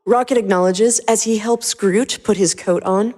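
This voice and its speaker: A woman's voice: mid-range voice, steady tone, moderate pace, a little mellow. A woman narrator reading a scripted story.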